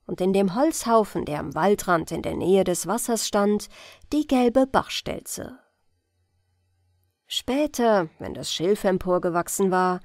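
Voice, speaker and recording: A woman with a German accent reading a fairy tale aloud in a calm, gentle bedtime style, in a lilting storyteller manner. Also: medium pitch, no room sound, clean studio sound. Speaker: audiobook reader.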